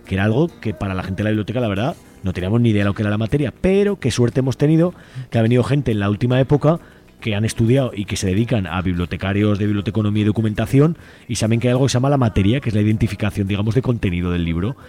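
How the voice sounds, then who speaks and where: A man's voice: on the low side, rapid-fire, mellow. A man with an accent from Spain speaking casually and enthusiastically as a radio guest, in a colloquial, rambling way that often seeks agreement. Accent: Spanish from Spain. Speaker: radio guest from a community library collective. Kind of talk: casual spoken radio interview.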